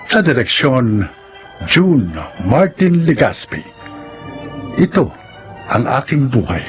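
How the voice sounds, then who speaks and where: Animated Filipino male radio drama announcer. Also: medium pitch, slow pace. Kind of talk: dramatic scripted narration. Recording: muffled broadcast with music underneath.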